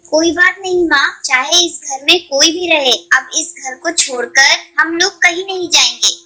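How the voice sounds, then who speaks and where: Woman as bright, high-voiced young girl bird. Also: moderate pace. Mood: eager. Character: young girl bird, sensible, determined elder sister. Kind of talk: Hindi children's cartoon voice acting.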